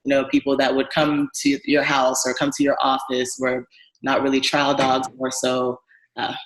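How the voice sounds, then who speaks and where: A young woman from Ghana, a guest business owner telling her story in a relaxed, friendly way, laughing easily. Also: rumbling low, normal speed. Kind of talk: casual interview conversation. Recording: echoey room sound.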